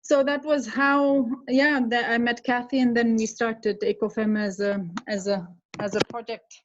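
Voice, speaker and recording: A woman's voice: fairly high pitch, flat delivery, normal speed, lo-fi sound, noisy background. Hesitant Dutch-born woman on a call. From Netherlands. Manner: hesitant, many fillers. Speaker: social enterprise co-founder. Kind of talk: online workshop talk. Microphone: video call.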